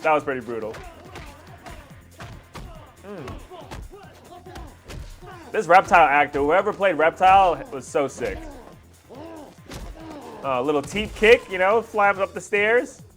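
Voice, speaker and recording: A man's voice: fairly high pitch, expressive, slow, long pauses, a bit bright. A man hosting a reaction video, commenting on fight scenes in casual slang with hyped exclamations. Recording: music behind the voice.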